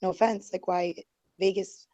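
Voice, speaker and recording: A woman with a mellow, dark tone, her voice worn and fading. She rambles defensively, full of "like" and "um" and restarts. The sound is dry and close. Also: mid-range voice, fairly even intonation, normal speed, no echo, dull audio. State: losing her voice. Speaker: guest. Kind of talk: heated online group call.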